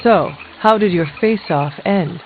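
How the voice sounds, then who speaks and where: A woman voicing a stern but curious resurrected warrior in a video game, speaking in a formal, measured, dry way. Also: lowish voice, slight background noise, lightly acted. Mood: curious, amused. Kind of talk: scripted video game dialogue. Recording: thin phone-like band, music behind.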